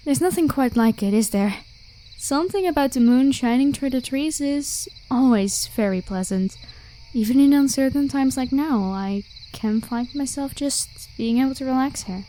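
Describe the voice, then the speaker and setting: A woman voicing a carefree, protective vampire warrior of high rank in a casual, reassuring roleplay style. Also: warm tone, no echo, studio quality. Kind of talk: ASMR roleplay acting. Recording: clear background hiss with night crickets. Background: crickets and insect ambience.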